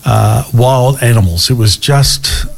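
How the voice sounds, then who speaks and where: Australian male breakfast radio co-host, croaky from no sleep, casual halting chat. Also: mid-range voice. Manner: relaxed, halting, many fillers. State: croaky, hoarse from lack of sleep. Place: radio studio.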